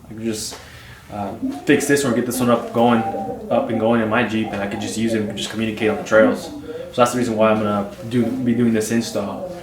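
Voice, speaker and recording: A man hosting a DIY video in casual, off-the-cuff speech, haltingly repeating and restarting phrases as he explains. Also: medium pitch. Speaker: DIY vlog host. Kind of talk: casual off-the-cuff how-to talk. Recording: roomy, distant mic, clear hum noise.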